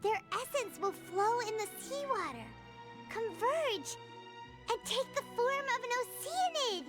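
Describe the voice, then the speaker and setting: A woman voicing a tiny, eager childlike fairy companion in a video game, with a squeaky, animated delivery. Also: unhurried, heavily acted. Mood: earnest explaining. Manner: animated, explaining earnestly. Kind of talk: scripted video game dialogue. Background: game music.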